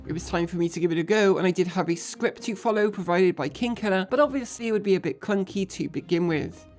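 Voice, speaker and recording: A woman with a fairly low voice hosts a gaming video. She narrates her experience and joins in roleplay procedures. Light music plays behind her. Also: fast, a little mellow. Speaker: video host and trainee air traffic controller in a game org. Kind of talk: scripted voice-over narration with some in-game roleplay talk.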